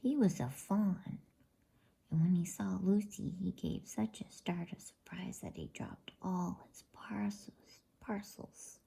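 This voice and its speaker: A woman softly whispering a bedtime story in ASMR style, breathy, with a halting delivery. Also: on the low side, animated.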